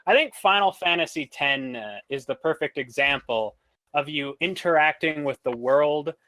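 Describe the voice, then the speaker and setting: Guy w a bright kinda higher voice, casual opinionated podcast talk. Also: moderate pace, low-quality recording. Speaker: podcast panelist. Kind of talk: casual chat, opinionated commentary.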